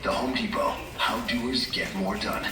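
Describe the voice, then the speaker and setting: A man's voice: mid-range voice, fairly even intonation, a little slow, very noisy. A man reading a scripted public service advert as a store announcer, heard through a ceiling PA speaker. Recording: distant echoey store PA, loud music.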